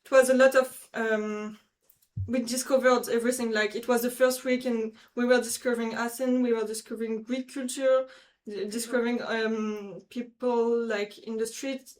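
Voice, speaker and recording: A nineteen-year-old French woman speaking English with a French accent, halting and searching for words as a guest volunteer. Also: fairly high pitch, level tone. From France.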